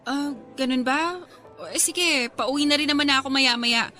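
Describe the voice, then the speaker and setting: A woman's voice: sits high, moderate pace, pushed and bright, faint music behind. A woman playing an earnest, playful young single woman being courted in a radio romance drama. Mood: caring, apologetic.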